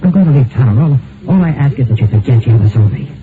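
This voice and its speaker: A man's voice: mid-range voice, expressive, quick pace, natural voice. An actor playing an anxious man on the run in an old-time radio mystery. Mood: anxious, pleading. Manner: nervous, stammering in dialogue. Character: anxious male fugitive posing as a dead man. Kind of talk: old-time radio drama, first-person mystery narration and dialogue. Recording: tinny vintage radio recording, music behind.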